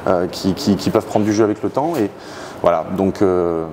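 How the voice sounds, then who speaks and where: A man's voice: low-pitched, steady tone, normal speed, a little mellow. A man chatting casually as he explains things like a garage owner, often hesitating and searching for his words. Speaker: garage owner showing customers' cars. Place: large workshop hangar, indoors. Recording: clear background noise in a garage.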